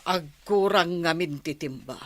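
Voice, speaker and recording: A woman's voice: lowish voice, measured pace, fairly bright tone, dry recording. An actress with a Filipino accent playing a scheming, evasive mother in a radio drama. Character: scheming mother making excuses to her child.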